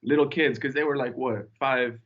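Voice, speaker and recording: A young man from Tanzania, a podcast guest, telling his story in a relaxed, rambling way with lots of fillers. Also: mid-range voice, moderate pace, muffled recording. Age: young adult. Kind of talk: casual podcast chat.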